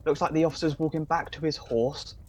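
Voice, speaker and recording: A man's voice: fairly high pitch, steady tone, a little fast, highs cut off, noisy background, natural voice. An actor playing a crude lookout in a radio play, giving his boss a colloquial running report. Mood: wry, hurried.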